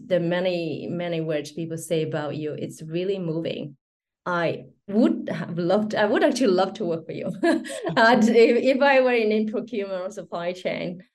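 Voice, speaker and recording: A middle-aged woman hosting a podcast interview, admiring and quick to laugh. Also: fairly low pitch, darkish tone. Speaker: podcast host.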